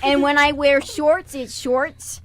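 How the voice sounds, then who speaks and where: A woman's voice: high voice, flat delivery, slow, a bit bright. A woman voicing a ditzy, talkative mother who rambles and insists she is organized, in an improvised comic radio monologue. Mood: proudly organized, giggly. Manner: rambling, self-justifying, giggly. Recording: clear background noise, amateur radio show.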